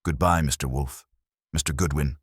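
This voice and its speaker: A defeated, ambitious woman in an audiobook mystery, played by a deep-voiced man. She speaks bitterly in short confessional phrases, husky and subdued, with a dry, close sound. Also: fairly even intonation, no echo. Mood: bitter regret. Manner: halting, confessional.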